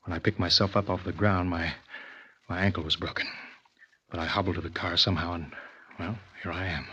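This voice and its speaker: Husky, coolly ruthless radio villain. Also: male voice, deep voice, fairly even intonation, a little fast, sharp tone, heavily acted. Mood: pained, unrepentant. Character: coolly ruthless male killer scientist, smooth and domineering. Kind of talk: old-time radio thriller acting. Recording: muffled vintage radio recording.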